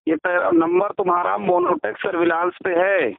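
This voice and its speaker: A man's voice: sits high, sing-song, moderate pace, vocal fry, dry recording. A man on a phone call threatening and hectoring the listener, posing as a police officer. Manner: intimidating, bullying, hectoring. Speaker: phone scammer posing as a crime branch officer. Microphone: telephone line. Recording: tinny recorded phone call, band-limited.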